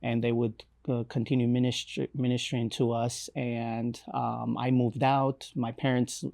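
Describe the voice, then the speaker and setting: A young man from Romania with a Romanian accent, telling his life story in an interview with many pauses, fillers and restarts. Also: mid-range voice, level tone, vocal fry, a little mellow. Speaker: missionary guest. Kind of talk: interview, personal testimony.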